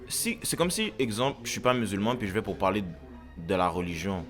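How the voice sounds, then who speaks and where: A man's voice: on the low side, big pitch swings, brisk, faint music behind. A young man with a Quebec French accent, a podcast guest earnestly repeating his point and mixing English into his French. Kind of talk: casual chat.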